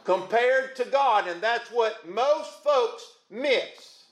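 A man preaching a sermon in a booming voice, folksy and calling out to the congregation. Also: expressive, slow, fairly bright tone, echoey room. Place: church.

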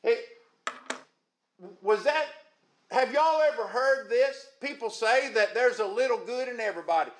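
A man preaching a sermon in a booming voice, folksy and calling out to the congregation. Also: a little slow, long pauses, reverberant. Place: church.

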